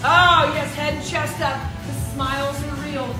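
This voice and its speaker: A high-pitched, bubbly woman in her thirties leads a live workout, cheering people on and calling out the moves. She is recorded on a camera mic across a large gym, so her voice rings around the hard walls. Upbeat workout music plays underneath her. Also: steady tone, a little slow. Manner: bubbly, encouraging, cheering and counting down. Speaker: fitness coach. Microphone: camera mic a few steps away. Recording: echoey gym with music behind.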